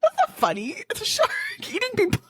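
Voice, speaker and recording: A woman's voice: very high voice, wildly swooping pitch, a little fast, dry recording. Bubbly woman podcast co-host. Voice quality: bubbly, laughs often, many fillers. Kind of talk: casual podcast chat.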